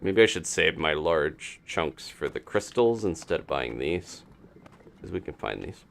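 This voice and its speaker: A man's voice: extremely low pitch, very animated, a little slow, vocal fry, a bit bright, slight background noise. A man chatting casually through a live-streamed game, wondering aloud and laughing often. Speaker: streamer playing a video game.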